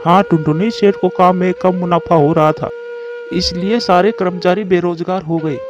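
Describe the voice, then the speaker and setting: A man's voice: high voice, fairly even intonation, normal speed, music in the background, close to an everyday voice. A man voicing an earnest, protective male bird in a storybook cartoon, on dull lo-fi audio. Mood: worried. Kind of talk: Hindi moral-story cartoon dubbing.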